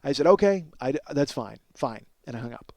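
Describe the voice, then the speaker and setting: A man's voice: mid-range voice, animated, fairly quick, a little mellow, no room sound. A man in his forties hosting a comedy podcast, telling a rambling, self-deprecating story with profanity and acted-out dialogue. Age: 40s. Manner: rambling, self-deprecating, acts out both sides of conversations. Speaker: podcast host.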